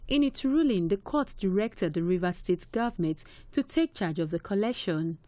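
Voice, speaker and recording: A woman reporter reading a news report in a measured, formal voice. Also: medium pitch, expressive, mellow, no echo.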